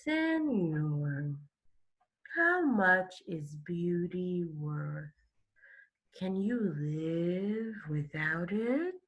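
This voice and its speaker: A lazy female sloth from a storybook, played by a woman reading aloud. She speaks very slowly in a deep drawl, plodding through every drawn-out word. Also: lively intonation, muffled recording, full character voice. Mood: languid, pleading.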